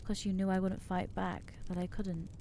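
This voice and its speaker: A woman's voice: mid-range voice, flat delivery, normal speed, mellow, slight background noise, natural voice. A woman voicing an anxious, self-loathing young female vampire in an ASMR audio roleplay, talking hesitantly and putting herself down. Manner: hesitant, self-deprecating, trailing off.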